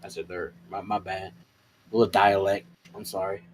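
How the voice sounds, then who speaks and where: Lower-voiced man, halting roleplay banter. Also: flat delivery, noisy background. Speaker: tabletop roleplay player. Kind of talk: casual in-game banter.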